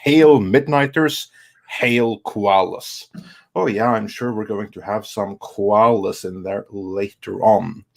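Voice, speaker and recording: A man hosts a casual live show. He talks slowly and haltingly as he reads out messages and chats with his guests. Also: fairly low pitch, vocal fry. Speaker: host of a live stream show.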